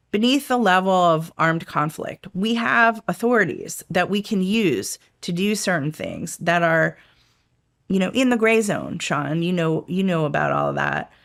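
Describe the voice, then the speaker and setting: A woman's voice: on the low side, vocal fry, a bit bright. A middle-aged woman, a former intelligence analyst, speaking casually in an interview, with many fillers and restarts. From United States, raised in Washington DC area. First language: Italian.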